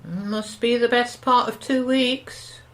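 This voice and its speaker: A woman's voice: on the high side, fairly even intonation, slow pace. An actress playing a curtain-twitching suburban wife in an audio drama, gossipy, anxious and easily shocked, with a British accent and a hesitant, insinuating way of talking. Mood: curious, probing. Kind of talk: audio drama dialogue, naturalistic.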